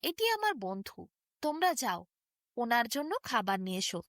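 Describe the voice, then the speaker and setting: A woman's voice: on the high side, very animated, moderate pace, a bit bright, no echo, clean studio sound. A woman voicing a sweet, friendly little boy in a storybook tale, speaking in an earnest, coaxing way. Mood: assured. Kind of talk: storybook character dialogue.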